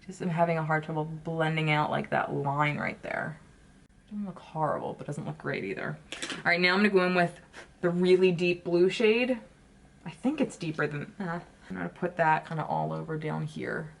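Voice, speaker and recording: A woman's voice: sits low, normal speed, creaky. A woman beauty vlogger chatting casually while she does her makeup, swearing often and gushing about products.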